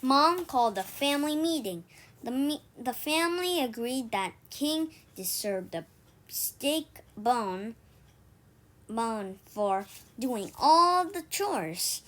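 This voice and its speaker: A woman reads a children's story aloud in a fairly high voice. Her pace is very slow and halting. She often trips on a word and says it again before moving on. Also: expressive, fairly bright tone. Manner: halting delivery, stumbles and repeats words. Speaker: storybook reader for an online read-aloud.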